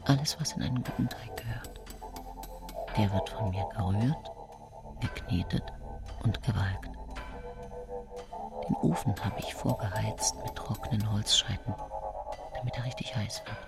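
An actress playing an envious, brooding woman poisoner in a hushed, breathy radio drama inner monologue. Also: a bass voice, loud music behind the voice, heavily acted. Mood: quietly menacing. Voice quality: breathy, whispery. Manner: slow, hushed, intense. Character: envious, brooding woman poisoner sharing her secret thoughts.

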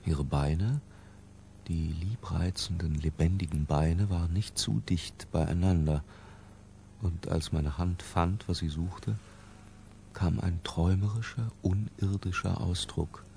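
An actor playing an imprisoned man recalling an obsession in a hushed, lingering literary radio drama narration. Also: low voice, slow pace, vocal fry, a little hiss or hum, full character voice. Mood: hushed, sensual. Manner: hushed, lingering, sometimes falling to a whisper. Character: Humbert, imprisoned man confessing his obsessive boyhood love.

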